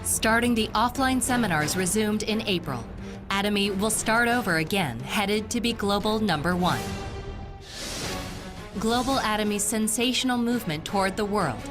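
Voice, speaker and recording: A woman reading a scripted corporate video narration in a steady, announcing way. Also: medium pitch, normal speed, music in the background.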